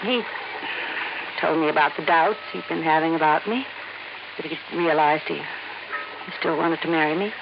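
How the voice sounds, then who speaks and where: A young American woman burdened by her past in a vintage radio mystery. She speaks earnestly and hesitantly. The recording is dull and clearly hissy. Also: low voice, moderate pace, pauses often. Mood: subdued, worried. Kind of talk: 1950s radio drama acting.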